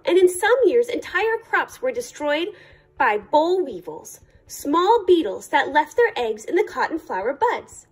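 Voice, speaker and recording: A woman reading a children's picture book aloud as a librarian, in careful, deliberate short phrases. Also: high-pitched.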